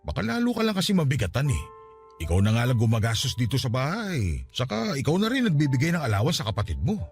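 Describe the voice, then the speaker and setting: A man's voice: mid-range voice, wildly swooping pitch, fast talker, soft background music. An actor playing an ailing middle-aged Filipino father and former seaman, tender and wounded, speaking earnestly in a radio drama. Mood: grateful, tender. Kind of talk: Tagalog radio drama acting.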